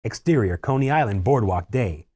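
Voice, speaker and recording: A man's voice: on the low side, animated, moderate pace, a little mellow, breathy edge. A man narrating a screenplay, reading out scene headings and stage directions as scripted narration.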